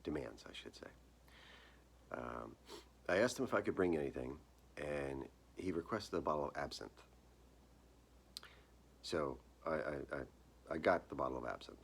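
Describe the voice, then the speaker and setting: Male author-narrator, extremely low register, gritty husky tone, deliberate storyteller's phrasing. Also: expressive. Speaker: author reading own short story. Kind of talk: dramatic live reading of literary horror fiction.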